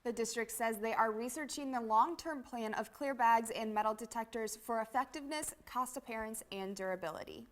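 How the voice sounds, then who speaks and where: A woman's voice: fairly high pitch, a little fast, few pauses. A woman with an American accent reading scripted news as a television anchor.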